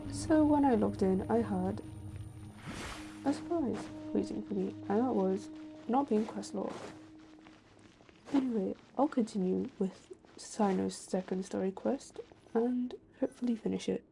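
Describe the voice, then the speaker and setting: Slow-talking woman streaming a game. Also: mid-range voice, creaky, dark tone, faint music behind, poor audio. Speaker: game livestreamer. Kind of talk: casual livestream chat.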